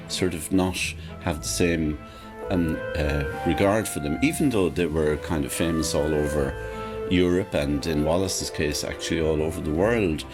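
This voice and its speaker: A man with an Irish accent and a notably deep, low voice talks through his points in a considered, unhurried way, often leaning on "you know" and "I mean". He is a radio interview guest who edits opera scores. Music plays clearly underneath his voice.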